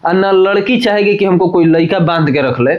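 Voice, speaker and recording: A man with a Bhojpuri accent speaks in a fairly high voice as the guest in a casual gossip interview. He is emphatic and tends to repeat his phrases. The sound is dull and lo-fi. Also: fairly quick.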